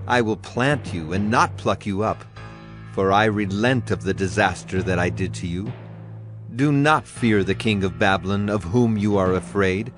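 An actor playing a stern Hebrew prophet in a dramatized audio Bible, solemn and declamatory. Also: male voice, fairly low pitch, moderate pace, faint music behind, lightly acted. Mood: solemn, reassuring.

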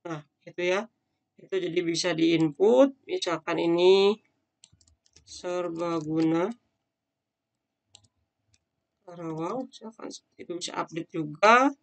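A man's voice: sits high, measured pace, vocal fry, pauses often. A man teaching a screen-recorded coding tutorial, talking casually and thinking aloud with frequent fillers as he demonstrates. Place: at a computer, voice-over on screen recording.

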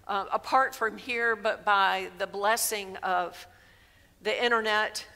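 Bright-voiced older woman preaching slowly. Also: medium pitch, steady tone. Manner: measured, emphatic preaching with gestures. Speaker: pastor. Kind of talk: sermon. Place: large church sanctuary, indoors. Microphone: headset mic. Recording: long reverb of a church hall.

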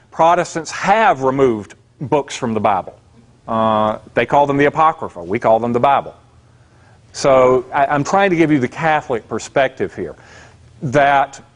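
A man giving a casual classroom lecture as an adult religion class instructor, plainspoken and conversational. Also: medium pitch. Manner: conversational, plainspoken, many fillers and asides. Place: church classroom.

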